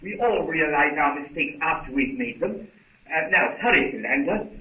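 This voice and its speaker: An actor playing an excitable, pedantic professor of archaeology in a 1930s radio serial, fussy and scholarly. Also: male voice, a little high, normal speed, echoey room, natural voice. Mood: fussy, urgent. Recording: tinny, noisy, distant 1930s radio recording.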